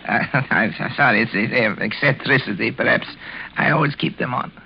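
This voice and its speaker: An actor playing a courtly, eccentric gentleman caller with a halting, over-polite manner and an undertone of menace, in 1940s radio suspense style. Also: mid-range voice, gravelly, a little hiss or hum, natural voice. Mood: courteous, hesitant. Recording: dull vintage radio broadcast recording.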